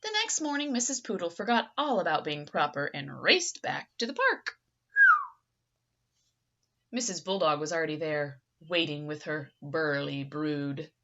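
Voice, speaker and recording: A woman's voice: deep voice, big pitch swings, a little slow, lo-fi sound, background noise. A woman reading a children's picture book aloud expressively and theatrically, engaging young listeners.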